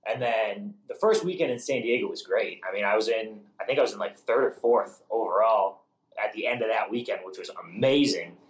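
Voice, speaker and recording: A man's voice: lowish voice, a little fast, reverberant, low-quality recording. A young man from Massachusetts with a New England accent, a rookie driver talking casually in an interview with lots of restarts and fillers. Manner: rambling, many restarts and fillers. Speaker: rookie monster truck driver.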